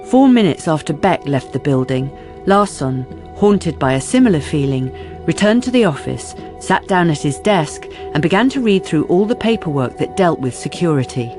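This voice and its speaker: A man narrating a crime radio drama as a wry, measured storyteller. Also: fairly high pitch, sing-song. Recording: music behind the narration.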